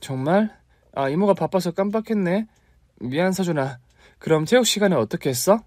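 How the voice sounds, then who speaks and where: Scatterbrained young aunt, warm and high-pitched, read by a man. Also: lively intonation, normal speed, no echo, studio quality. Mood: apologetic.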